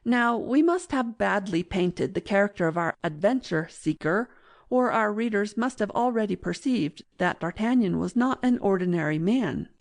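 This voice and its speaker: A woman reading classic fiction as an audiobook narrator in a measured, literary manner. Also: medium pitch, expressive.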